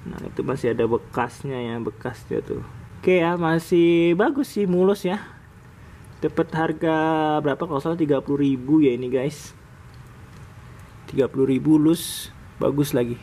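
A man hosting a relaxed toy review vlog, talking casually to viewers as he unboxes toy cars at a table. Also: on the high side, moderate pace, slight background noise. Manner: relaxed, chatty, sprinkled with "guys". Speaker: diecast toy channel host. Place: indoors at a table, off camera.

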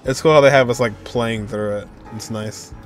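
A young man casually commenting on a video game as he watches, relaxed and chatty. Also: mid-range voice, normal speed. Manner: relaxed, chatty, reacting aloud. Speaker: gaming reaction streamer. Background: game music.

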